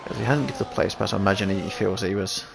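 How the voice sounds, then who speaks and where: A man commentating a sim race, thinking aloud in halting restarts, his voice croaky after sneezing. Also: on the low side, noisy background.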